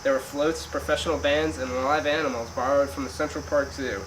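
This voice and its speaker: A man's voice: mid-range voice, fairly even intonation, a little fast. A young man reading a scripted amateur radio news report as the announcer. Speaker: news announcer in a student project. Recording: distant mic, clear background hiss.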